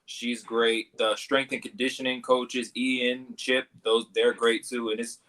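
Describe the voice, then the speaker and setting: A young man from Long Island, a wrestler guest in a casual interview, relaxed and easygoing, laughing often. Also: medium pitch, a little slow. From Long Island, New York.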